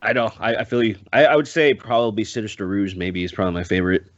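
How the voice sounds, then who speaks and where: A man in casual podcast chat, rambling and halting, swearing freely. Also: lowish voice, steady tone, muffled recording. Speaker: podcast guest. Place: indoor room on a group video call.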